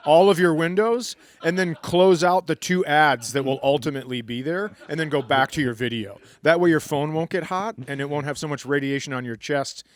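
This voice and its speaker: A man co-hosting a live comedy podcast, throwing in crude, quick-fire jokes and interjections. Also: fairly high pitch. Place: comedy club stage.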